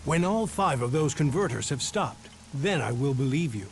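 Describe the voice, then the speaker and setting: An actor playing a wary, commanding resistance leader in a video game, with a stern, demanding and deliberate delivery. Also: male voice, medium pitch, animated, a little hiss or hum, close to an everyday voice. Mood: stern, distrustful.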